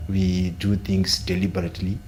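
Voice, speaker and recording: A man from Zimbabwe, a software engineering student speaking on a panel in a measured way, searching for words with many fillers. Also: low voice, completely flat delivery, a little hiss or hum, poor audio. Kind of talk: panel discussion.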